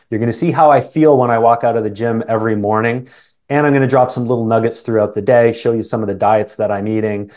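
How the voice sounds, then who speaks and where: A man's voice: medium pitch, a little mellow. Middle-aged Canadian man, earnest and conversational. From Canada, born in England. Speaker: interview guest, sales coach. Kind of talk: casual interview conversation.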